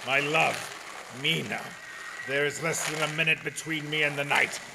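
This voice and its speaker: A man playing an ancient vampire count in a radio play, intoning slowly and seductively like an incantation, with commanding authority. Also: on the high side, steady tone, creaky, background noise. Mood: pleading summons. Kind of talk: radio play horror acting.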